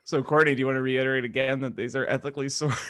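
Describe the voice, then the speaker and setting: A man's voice: medium pitch, little pitch movement, very fast, fairly bright tone, no room sound. A man co-hosting a podcast in casual comedic banter, with a wry, teasing manner. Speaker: podcast co-host.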